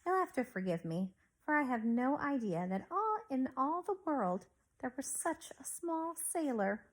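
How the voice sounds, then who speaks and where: Woman as a male bus conductor, storybook character, amused, incredulous, patronizing, swooping pitch. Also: big pitch swings, measured pace, exaggerated voice. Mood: mock apologetic.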